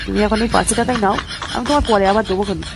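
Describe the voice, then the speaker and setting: A woman's voice: medium pitch, rapid-fire, gravelly, very noisy, close to an everyday voice. A woman voicing a sly old grandfather who coaxes and pleads with his lover in an amateur comedy cartoon. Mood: sly, placating. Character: wheedling, cheating old grandfather placating his secret lover.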